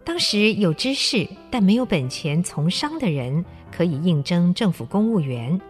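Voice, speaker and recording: A woman reading scripted narration for a literary radio drama. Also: lowish voice.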